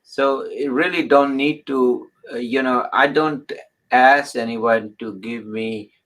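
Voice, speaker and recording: A man hosting an interview who talks haltingly, restarting his sentences and adding encouraging remarks. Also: medium pitch, fairly even intonation, a bit bright. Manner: halting, with many restarts and filler words. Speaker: host.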